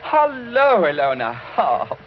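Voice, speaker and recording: A man's voice: high-pitched, huge pitch swings, takes it slowly, slight background noise, full character voice. An actor playing an eager, uneasy young English lord in a vintage radio drama, a man with a British accent. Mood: courteous, anxious. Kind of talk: 1940s radio acting. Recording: muffled old radio recording with music.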